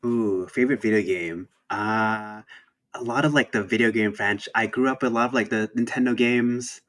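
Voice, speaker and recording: A young man from Thailand chats about games as an interview guest. He has a low voice and talks hesitantly, restarting his sentences and laughing easily. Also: moderate pace, a bit bright. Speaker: indie game developer, guest. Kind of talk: casual interview chat.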